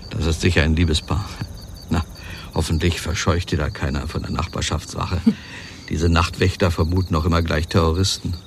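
An actor playing an easygoing husband idly observing things on a hot night, in naturalistic radio drama dialogue. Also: male voice, a bass voice, flat delivery, normal speed, gravelly, slight background noise. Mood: amused, dismissive. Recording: faint cricket and night ambience. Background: chirping insects at night.

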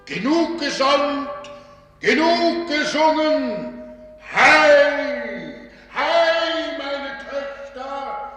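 A commanding spirit father from a radio fairy tale. The calls are very slow and bright, almost sung. They ring out through heavy reverb over music. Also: very high voice, expressive, exaggerated voice. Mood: commanding, chanting. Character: commanding serpent father spirit calling his daughters. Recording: cavernous long reverb, distant, music behind.

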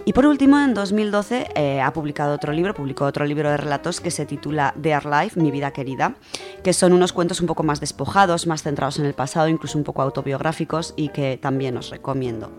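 A woman's voice: low voice, fast, a little mellow. A woman with an accent from Spain, speaking enthusiastically as a radio contributor in a conversational book segment. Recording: music under the voice. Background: background music.

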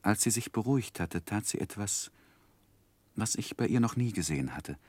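A grown man looking back on his life in a 1960s radio drama. His voice is low and grave, with a measured, wistful storytelling manner. The sound is close and very dry. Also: animated, a little mellow, no echo. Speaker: narrator.

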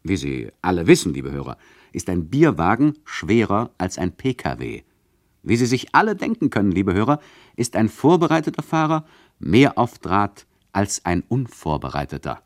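An actor playing an ironic, mock-polite and condescending radio play narrator who addresses the listeners. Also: male voice, lowish voice, wildly swooping pitch, normal speed, dry recording, studio quality. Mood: condescending irony.

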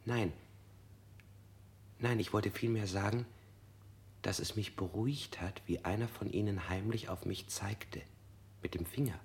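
A man's voice: lowish voice, fairly even intonation, a little slow, creaky, long pauses, darkish tone. An actor playing a frightened man under interrogation in a radio drama, who keeps correcting himself and sometimes drops to a whisper. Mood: confused.

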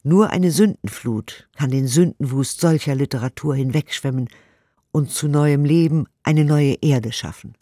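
A man's voice: fairly high pitch, measured pace, dark tone, no echo. A man giving a formal, articulate, declamatory reading of old letters and reviews for a radio play. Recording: studio radio production with music.

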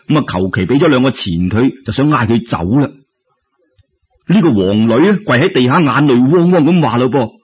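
A man with a Cantonese accent telling a folk tale in an expressive, colloquial, exclamatory storyteller's style, his voice husky. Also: medium pitch, muffled recording.

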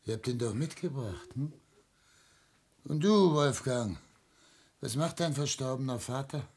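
A man's voice: mid-range voice, animated, unhurried, vocal fry, darkish tone. An actor playing an embittered, proud father of seventy in a classic German radio drama, declamatory and bitterly sarcastic. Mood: cold sarcasm. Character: embittered seventy-year-old father, proud, wounded patriarch.

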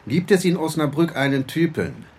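An actor playing a brusque, impatient police chief in a radio play, commanding and sarcastic, with a husky voice. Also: male voice, fairly high pitch, animated, normal speed, a little mellow.

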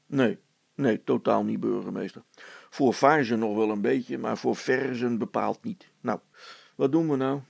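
A man voicing a blunt, down-to-earth, dry-witted fellow in a story, matter-of-fact and deadpan. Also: medium pitch, a little slow, vocal fry, husky, no room sound, pitched below the performer's own voice, exaggerated voice. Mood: dry, sober.

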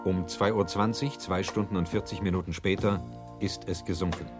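A man's voice: low voice, steady tone, moderate pace, over music. A man narrating a documentary radio play, terse and matter-of-fact.

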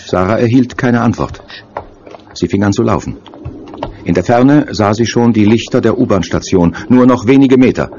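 Male narrator for audio thriller, low voice, scripted dramatic delivery, slightly muffled with faint noise. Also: normal speed, dark tone. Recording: dull sound with faint noise.

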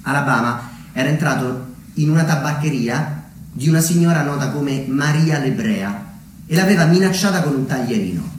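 A man delivering a scripted theatrical monologue as a storyteller, measured, with deliberate dramatic pauses. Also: medium pitch, lively intonation. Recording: very echoey room, distant mic, slight noise.

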